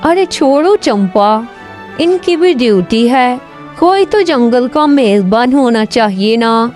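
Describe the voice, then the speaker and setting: A woman's voice: on the high side, lively intonation, slow pace, a little mellow, faint music behind. A woman voicing a proud, commanding king out hunting in a moral storybook tale. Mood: amused. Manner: commanding, lordly. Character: proud hunting king, male, stubborn but softening.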